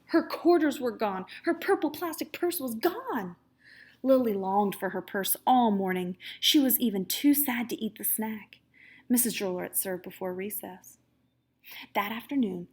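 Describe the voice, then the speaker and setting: A woman reading a children's storybook aloud as a teacher would, with expressive, dramatic emphasis. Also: mid-range voice, slightly rough.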